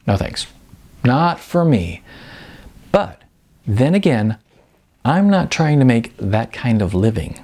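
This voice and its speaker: A man voicing a blunt, brisk female modeling agent in an audiobook, emphatic and patronizing, with chatty endearments. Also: mid-range voice, big pitch swings, a little mellow, full character voice. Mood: wry, candid. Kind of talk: audiobook character dialogue.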